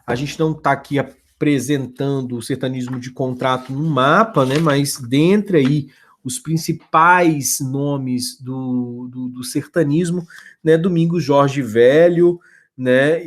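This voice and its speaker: A man's voice: medium pitch, darkish tone. A man teaching a history lesson over slides, talking loosely with frequent fillers, restarts and check-ins with the class. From Brazil.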